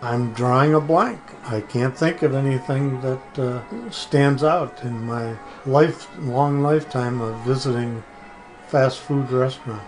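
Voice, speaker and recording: An older man speaks as a podcast guest in a mid-range voice. He answers casually and haltingly, pausing to find words, over faint music. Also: medium pitch, a little mellow, low-quality recording. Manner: halting, hesitant, searching for words. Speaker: podcast guest, former religion writer.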